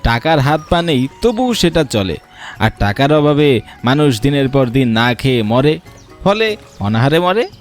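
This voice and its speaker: A man's voice: mid-range voice, very animated, a little slow. A man voicing an eager young village fellow in a cartoon, thinking aloud over riddles. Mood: realizing, confident. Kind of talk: Bengali cartoon story dialogue. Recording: music behind the voice.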